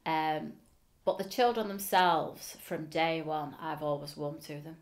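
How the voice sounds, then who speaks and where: A woman's voice: deep voice, a little slow. A middle-aged woman with a Liverpool accent, speaking earnestly in an interview in a school office, with frequent ums. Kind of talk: oral history interview. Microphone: seated facing camera.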